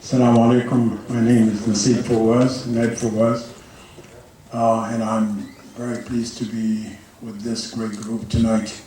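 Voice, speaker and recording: A man gives a courteous, formal greeting in a dark, mellow voice. The room echoes heavily around him, and the mic is some distance away. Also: lowish voice, level tone, unhurried, a little hiss or hum. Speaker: guest speaker. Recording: echoey hall, mic heard from afar.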